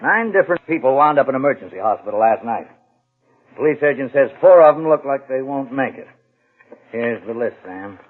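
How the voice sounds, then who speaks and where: An actor in a 1950s radio drama playing a stern, brisk police captain, husky and authoritative. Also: male voice, mid-range voice, expressive, close to an everyday voice. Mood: grave, indignant. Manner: authoritative, deliberate. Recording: narrow, tinny old radio recording.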